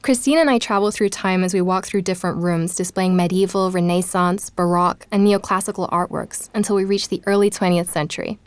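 A woman's voice: fairly low pitch, steady tone, fairly quick, few pauses, clean tone, dry recording, natural voice. An actress playing a curious, candid woman podcaster, narrating an audio drama like a conversational, reflective diary. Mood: explanatory. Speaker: narrator and interviewer.

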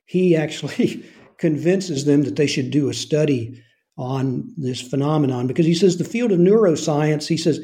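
A soft-spoken man, an author and former intelligence officer, answering interview questions in an earnest way. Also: a little high, moderate pace.

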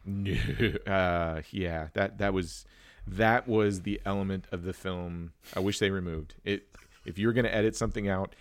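A man with a low-pitched voice hosts a casual movie discussion. He rambles as he thinks aloud, and the recording is dry with very little room sound. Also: steady tone, normal speed, no echo. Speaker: movie podcast host. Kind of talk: casual chat.

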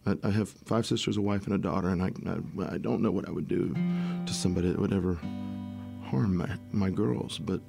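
A middle-aged man, a musician guest, talking casually in a rambling way, his voice worn and raspy at the end of a tour. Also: deep voice, a little fast, loud music behind the voice. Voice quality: gravelly. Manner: rambling, restarts often, swears casually. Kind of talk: casual interview chat.

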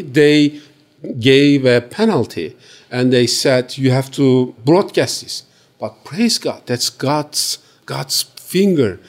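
Slow-paced Turkish-accented man, halting English. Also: medium pitch. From Turkey. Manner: halting non-native English, earnest. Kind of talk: interview testimony.

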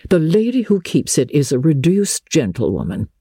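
A woman's voice: low voice, big pitch swings, normal speed, warm tone, slightly rough, no room sound, studio quality, heavily acted. A woman voicing a proper, genteel, well-to-do elderly lady with a formal, reassuring manner in a storybook reading. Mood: assured, proper.

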